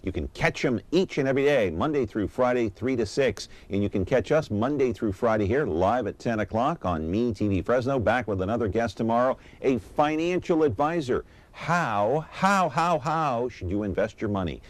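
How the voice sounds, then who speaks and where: A middle-aged man hosting a casual talk show, blunt and quick to talk over his guest. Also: medium pitch, animated.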